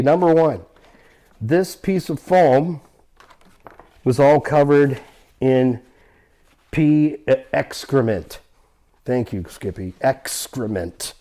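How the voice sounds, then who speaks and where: Mellow-voiced man explaining repairs. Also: medium pitch. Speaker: vehicle restorer and host. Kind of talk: hands-on repair explainer, casual talk to viewers.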